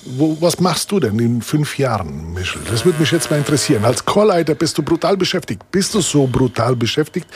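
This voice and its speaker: A man's voice: medium pitch, husky. A man interviewing a guest, speaking in an encouraging, rambling way. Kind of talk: casual interview talk.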